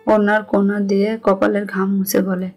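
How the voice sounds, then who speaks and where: A woman's voice: mid-range voice, flat delivery, moderate pace, darkish tone, breathy edge, dull audio. A woman with a Bangladeshi accent reading scripted narration of a romantic story. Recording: music under the voice.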